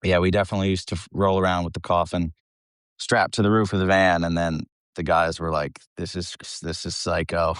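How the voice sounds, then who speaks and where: A man's voice: low-pitched, level tone, fairly quick, a bit bright, smooth, no room sound, clean studio sound. A man from the United States talking casually as a band vocalist being interviewed, in an informal, rambling, self-deprecating way.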